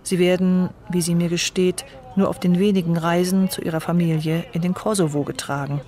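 A woman's voice: lowish voice, steady tone, a little fast, warm tone, faint music behind. Woman narrating a radio feature. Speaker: documentary narrator.

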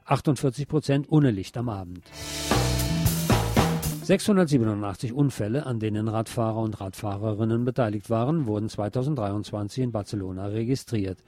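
A man's voice: on the low side, moderate pace, warm tone, no echo, faint music behind. A man presenting local news on the radio in a scripted reading style.

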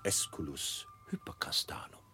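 A man playing a fussy, bewildered old coachman in an absurdist radio play, with a husky, breathy voice. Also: normal speed, bright, forceful tone, whispered, soft background music. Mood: musing, content. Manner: musing, fussy, incredulous.

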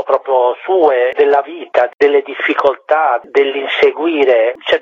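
Husky man on an echoey phone line. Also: medium pitch. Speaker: theatre director being interviewed. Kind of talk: spontaneous interview talk. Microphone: telephone. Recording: thin phone line with echo.

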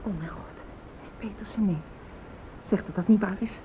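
A woman's voice: normal speed, natural voice. Actress as loyal, anxious married woman, formal and insistent, radio drama, narrow-band sound with background hiss. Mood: shocked, subdued. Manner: formal, insistent, easily indignant. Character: anxious, fiercely loyal young wife. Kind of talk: radio play acting. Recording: tinny old radio recording, hiss, rumble.

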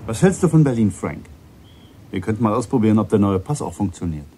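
An actor playing a composed, quietly probing adult man in a German radio play, understated and conversational. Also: fairly low pitch, expressive, quick pace, lightly acted. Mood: businesslike. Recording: noisy ambient sound behind the voice.

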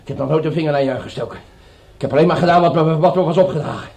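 High-voiced, cowardly whining henchman. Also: rapid-fire, creaky, darkish tone, recorded from a distance. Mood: panicked, pleading. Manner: pleading, stammering. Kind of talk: radio drama acting.

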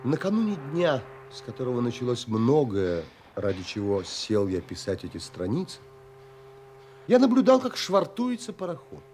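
An actor playing a wistful romantic man who narrates his longings in a measured, contemplative and expressive way, as in a literary audio play. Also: mid-range voice, slight background noise. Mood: absorbed, reflective.

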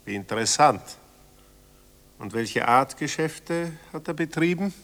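A man's voice: on the high side, lively intonation, measured pace, fairly bright tone. An actor in a German radio drama playing a pedantic, condescending male official, officious and mock-polite. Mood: dryly sceptical.

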